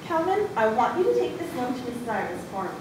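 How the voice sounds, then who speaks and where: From a distance in a very echoey room comes a high, brisk schoolteacher, acted by a woman. She is exasperated and firm, as in a staged school story, with clear noise rumbling behind her. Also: very animated. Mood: stern, impatient. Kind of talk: readers theatre school story performance.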